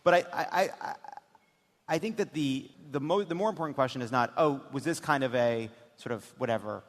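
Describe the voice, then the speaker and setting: A man hosting a live political comedy talk show, speaking emphatically with halting, restarted phrases. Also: medium pitch, a little hiss or hum. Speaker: host. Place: indoor stage before a live audience. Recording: echoey hall, live stage.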